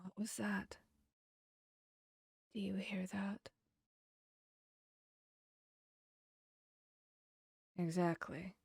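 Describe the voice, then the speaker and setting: A woman's voice: lowish voice, gravelly, breathy edge, no echo, heavily acted. A woman playing a protective, caring vampire hunter in an intimate fantasy audio roleplay, speaking directly to the listener. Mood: hushed, wary. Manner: intimate, addressing the listener directly, shifting between hushed and urgent.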